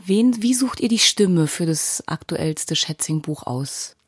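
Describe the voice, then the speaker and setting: A woman's voice: lowish voice, animated, takes it slowly, slightly rough, no room sound. A woman hosting a conversational podcast interview in a studio, engaged and appreciative toward her guest.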